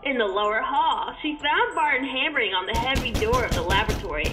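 A woman's voice: a little high, lively intonation, a little fast. A woman narrating a suspense story dramatically in the style of old-time radio. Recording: long reverb with music behind.

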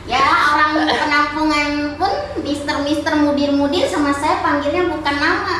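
A woman's voice: sits high, fairly even intonation, normal speed, bright, forceful tone. A middle-aged Indonesian woman with a Malaysian-influenced accent, telling stories casually in short, broken phrases. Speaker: babysitter being interviewed. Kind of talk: casual storytelling. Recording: very echoey, distant, noisy room.

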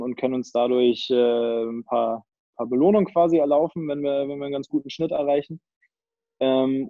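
Young man on a relaxed video call. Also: medium pitch, no room sound, lo-fi sound. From northern Germany, Kiel area. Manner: relaxed, thinking aloud with many fillers and restarts. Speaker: handball player, interview guest. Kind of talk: casual video-call interview. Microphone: earbuds on a video call.

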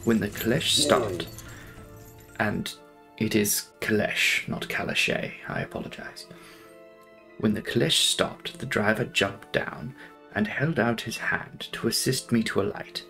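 A young man narrating a gothic novel as a dramatic reading, in a theatrical, deliberate and formal manner. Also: fairly low pitch, gravelly, pushed and bright, faint music behind. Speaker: audiobook narrator.

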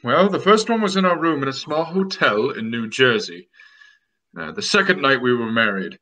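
A young man playing an anxious, self-important groom in melodramatic, drawn-out old-time radio mystery style. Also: mid-range voice, animated, pushed and bright. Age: young adult. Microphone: video call.

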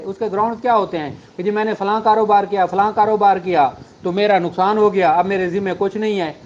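A man's voice: high voice, a little mellow, dull audio, a little hiss or hum. A man with a Pakistani accent giving an informal, explanatory lecture as a law teacher, mixing in English technical terms.

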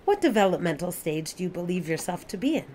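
A woman's voice: on the low side, very animated, fast, darkish tone, slight background noise. A woman playing an earnest, curious, newly adult alien in an audio play, speaking in formal, precise, stilted sentences.

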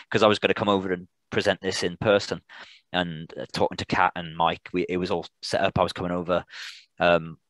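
A British man informally answering questions as a presenter on a video call, in a halting way with repeated words and restarts. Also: sits low, a bit bright, dry recording, rough recording. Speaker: air traffic controller, guest presenter.

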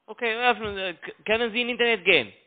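Foreign-accented man on a tinny phone line. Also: high-pitched. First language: not German. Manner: halting, broken grammar. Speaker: cold caller posing as a broker. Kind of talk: unscripted sales phone call.

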